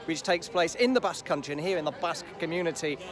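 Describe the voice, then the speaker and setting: A man's voice: fairly high pitch, quick pace, faint music behind. A man giving a casual vlog update to camera outdoors at a community event in a park, chatty, with frequent fillers and restarts. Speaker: language learning vlogger. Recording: outdoor recording with crowd noise. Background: crowd chatter and passing traffic.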